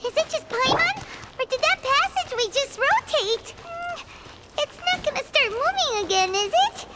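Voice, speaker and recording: A woman voicing a tiny, excitable female companion in a video game, chatty and jittery, speaking of herself in the third person. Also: extremely high pitch, moderate pace. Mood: nervous. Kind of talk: video game character dialogue. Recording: loud game music behind. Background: game music.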